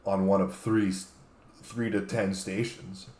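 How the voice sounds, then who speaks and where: A man with a lowish voice chats casually as a co-host. The sound is echoey and roomy, and his talk is loose and opinionated. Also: flat delivery, unhurried, a little mellow.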